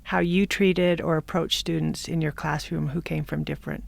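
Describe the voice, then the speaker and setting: Lady interviewer, low gravelly voice, asks slow careful questions, dry sound. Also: steady tone, no echo.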